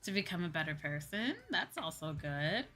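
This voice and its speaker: A woman's voice: deep voice, lively intonation, moderate pace, sharp tone. A woman hosting a livestream, chatting casually in a hesitant way and often repeating her phrases. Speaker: livestream host. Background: background music.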